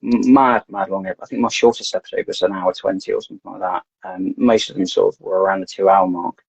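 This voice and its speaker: A man's voice: sits low, steady tone, fast, gravelly, husky, no echo. A man guest on a podcast, chatting casually and rambling, often repeating words as he gets his thoughts out. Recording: dull lo-fi dry sound.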